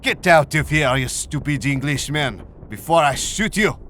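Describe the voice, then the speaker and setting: A man's voice: on the high side, unhurried, sharp tone, a little hiss or hum. A man acting a threatening soldier in an audio drama, barking aggressively. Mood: angry.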